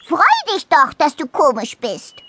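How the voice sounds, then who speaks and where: A woman's voice: sits high, big pitch swings, unhurried, very noisy, pitched above the performer's own voice, heavily acted. A woman voicing a tiny, frank dormouse in a storybook, with a chirpy, blunt and emphatic manner. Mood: consoling, frank. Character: tiny frank dormouse, lively and matter-of-fact. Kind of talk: storybook character voice.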